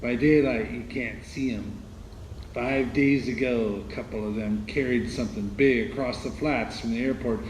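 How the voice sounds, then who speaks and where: A man's voice: mid-range voice, fairly even intonation, normal speed, reverberant, slight background noise, close to an everyday voice, poor audio. An actor playing a paranoid, territorial man hiding out after an invasion, jumpy and suspicious in radio drama dialogue. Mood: wary, confiding. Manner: suspicious, jumpy, folksy clipped phrases with repeats. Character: paranoid, territorial, knife-armed male survivor in hiding.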